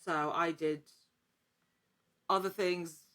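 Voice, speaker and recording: A woman talking casually as an interview guest, telling her story in an animated, chatty way. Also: lowish voice, slow. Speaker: podcast guest, actor.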